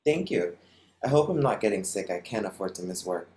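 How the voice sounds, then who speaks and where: A man's voice: on the low side, big pitch swings, fairly quick, background noise. A man playing a jaded, tough middle-aged prostitute in a screenplay table read, blunt and weary. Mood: wry.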